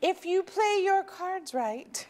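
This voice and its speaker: A woman's voice: very high voice, animated, takes it slowly, a little mellow, heavily acted. A woman playing a sensible, dryly teasing middle-aged suburban wife in a staged play reading.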